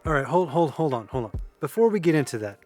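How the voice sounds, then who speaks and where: Level-headed, slightly high-voiced young guy played by a man. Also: sing-song, quick pace, a little mellow. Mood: firm, deliberate. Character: level-headed young man taking charge among housemates. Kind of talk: naturalistic audio drama dialogue. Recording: music under the dialogue. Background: music.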